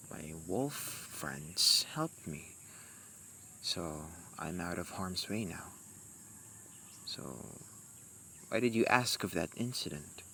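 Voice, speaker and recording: Very slow, halting young warlock played by a man. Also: deep voice, full character voice. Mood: guarded, subdued. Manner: halting, soft-spoken, addressing the listener intimately. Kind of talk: amateur romantic roleplay audio drama. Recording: noisy, with insect-like outdoor ambience. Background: crickets and night forest ambience.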